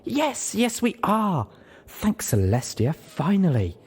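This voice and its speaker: Man as female pony medic, caring, motherly, gentle, insistent, lifted pitch, expressive, storybook character. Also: slow, husky, heavily acted. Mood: eager.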